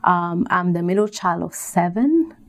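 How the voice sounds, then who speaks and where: A woman from Puerto Rico giving conversational answers as an interview guest, pausing often as she tells her story. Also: on the low side, expressive. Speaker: interview guest, business coach.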